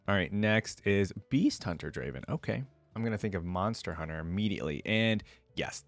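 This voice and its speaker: A man's voice: fairly low pitch, dry recording. A man giving casual, off-the-cuff reaction commentary as a video host, thinking aloud in an informal, wryly bemused way.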